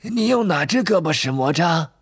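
A man voicing an eccentric old wandmaker in a storybook, with a breathy, husky voice and theatrical, drawn-out delivery. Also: a little high, lively intonation, no echo, studio quality, voice pushed up. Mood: eager, explaining. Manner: slow, drawn-out, swooping delivery with muttered asides.

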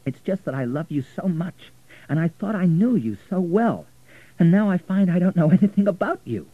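Man acting out a forceful, lovesick young man, phone-like sound. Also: medium pitch, animated, moderate pace, slightly rough, no echo, voice pushed up. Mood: remorseful, tender. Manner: forceful, indignant. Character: angry, hurt young man in love, impulsive.

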